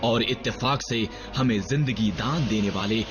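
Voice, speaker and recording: A man voices a grave cartoon robot soldier with a mid-pitched, measured delivery. He speaks solemnly, like a veteran recounting a long war. Loud dramatic music plays behind a muffled recording. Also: lightly acted. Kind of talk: dubbed animated series dialogue and narration.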